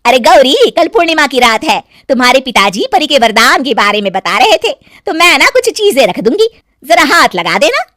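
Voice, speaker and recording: A woman's voice: high-pitched, very animated, moderate pace, fairly bright tone, dry recording, clean studio sound. A woman voicing a greedy, bossy middle-aged village mother-in-law, pushy and scolding, in a cartoon story. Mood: coaxing.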